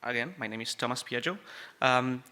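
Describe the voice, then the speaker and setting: Bright-voiced Uruguayan man giving a conference talk. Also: mid-range voice, fairly quick. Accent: Uruguayan Spanish. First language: Spanish. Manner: chatty, joking, many fillers and restarts. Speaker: conference speaker. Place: conference venue with audience.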